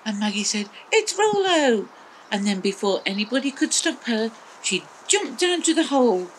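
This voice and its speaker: A woman's voice: medium pitch, expressive, normal speed, slight background noise, poor audio. An older woman with an English accent tells a children's story expressively in simple phrases. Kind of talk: children's storytelling, talking to small listeners. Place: outdoors in a small back garden.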